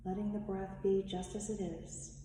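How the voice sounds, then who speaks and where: A woman leading a guided meditation as a teacher, speaking in measured, instructive short phrases with long pauses. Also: mid-range voice, level tone. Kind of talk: slow guided meditation narration. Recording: echoey reverberant room, distant mic, faint noise.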